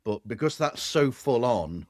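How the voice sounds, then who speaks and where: A man's voice: mid-range voice, animated, measured pace, darkish tone, no echo. Man hosting a podcast, very dry sound. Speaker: podcast host. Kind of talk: casual podcast conversation.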